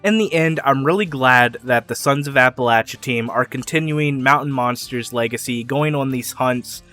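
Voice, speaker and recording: A young man talks through fan theories on his own channel, loose and rambling, with a bright, forward tone. His voice is getting a little hoarse from talking so long. Soft guitar plays faintly behind him. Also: medium pitch, normal speed. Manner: rambling, enthusiastic, with fillers and small laughs. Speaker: YouTube theory channel host. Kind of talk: casual solo theory talk to camera.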